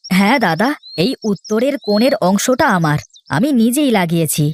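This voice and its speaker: A woman's voice: mid-range voice, animated, moderate pace, close to an everyday voice. A woman voicing an earnest, trusting young farm boy in a children's cartoon. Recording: faint noise with bird chirps.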